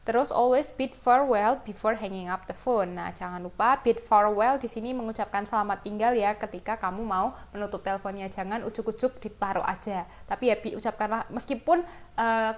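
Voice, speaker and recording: A woman's voice: mid-range voice, brisk. A woman with an Indonesian accent teaching an English lesson informally, explaining example phrases and talking directly to students.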